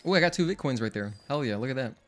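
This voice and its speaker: A man's voice: mid-range voice, animated, very fast, fairly bright tone. A young man streaming a game speedrun, chatting casually with viewers, rambling and often correcting himself mid-sentence. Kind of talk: casual live-stream commentary. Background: faint game music.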